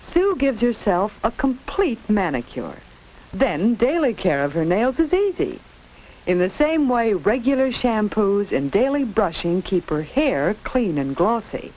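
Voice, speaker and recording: A woman giving scripted, instructive narration in a 1940s educational film style, carefully enunciated. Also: mid-range voice. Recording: dull, noisy 1940s film soundtrack.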